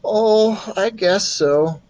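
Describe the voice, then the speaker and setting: A man's voice: high voice, very slow, a little mellow, dull audio, voice pushed up. A man voicing a kind young boy who feels ill, speaking in a listless, subdued way for a storybook.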